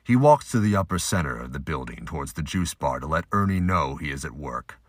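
Man, extremely low pitch, husky, lively intonation, dry close sound, story narrator. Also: brisk, no room sound. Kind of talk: scripted audio drama narration.

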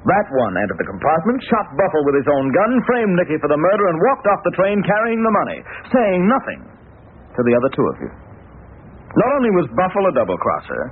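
A man playing a confident, clever gentleman detective in an old-time radio mystery. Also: on the high side, breathy edge. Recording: scratchy narrow-band old radio recording.

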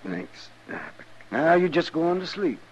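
An actor playing a weary, gentle man with a gravelly voice, hushed and halting in a 1950s radio western. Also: on the high side, sing-song. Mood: soothing, weary. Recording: dull old radio recording, faint noise.

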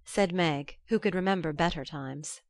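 A woman's voice: on the low side, normal speed, dry recording, studio quality. A woman reading literary fiction as an audiobook narrator.

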